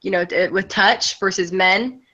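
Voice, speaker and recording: A young woman from the United States talks casually over a muffled video call. Her voice is bright and forceful, and her sentences are loose and chatty, full of "you know" and "like". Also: medium pitch, measured pace. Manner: chatty, frequent "you know" and "like", laughs easily. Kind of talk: casual group discussion in an online meeting.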